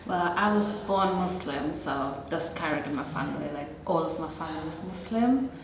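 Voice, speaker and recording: A woman's voice: low voice, expressive, moderate pace. A woman from Gambia, raised in England, with an English accent, chatting casually as a panel guest. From born in Gambia, raised in England. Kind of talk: casual group chat. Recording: very echoey, loud background noise.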